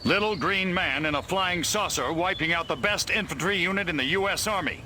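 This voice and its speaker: An actor playing a brusque, hard-bitten American army officer in a video game, clipped and gruffly urgent. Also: male voice, sits high, fairly quick, bright, forceful tone, slight background noise. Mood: urgent, grim. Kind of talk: video game cutscene acting.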